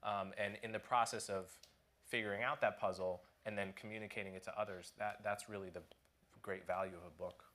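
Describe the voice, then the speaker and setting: A man speaking as an author on a conference panel, thoughtful and halting, often restarting his sentences. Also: fairly low pitch, fairly even intonation, bright, forceful tone.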